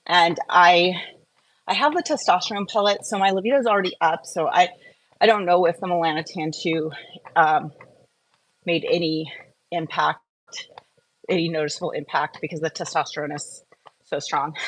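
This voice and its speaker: A woman's voice: lowish voice, rough recording. A woman vlogging in a casual, chatty question-and-answer and review style, rambling and often interrupting herself as she gets distracted. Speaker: skincare and wellness vlogger.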